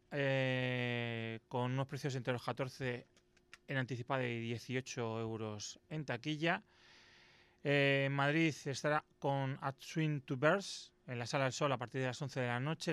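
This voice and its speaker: A man's voice: medium pitch, steady tone, fairly bright tone, clear, resonant tone, no echo. A man from co-hosting a radio music show, reading out concert listings with casual asides. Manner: brisk announcing, casual asides.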